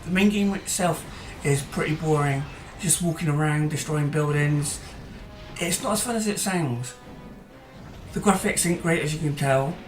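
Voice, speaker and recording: A casual gaming host talks loosely over gameplay, restarting sentences and filling pauses with "uh" as his voice wears down. The recording rings in a roomy space, with faint music under the speech. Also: medium pitch. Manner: chatty and rambling, with hesitant fillers and restarts. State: voice tiring.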